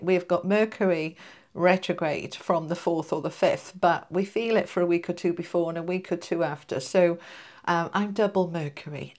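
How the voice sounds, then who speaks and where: A woman's voice: lowish voice, a little mellow, slight background noise. Congested chatty woman reading tarot. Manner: chatty, reassuring, addresses viewers as guys. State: congested from allergies. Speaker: tarot reader. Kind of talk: casual tarot reading to camera.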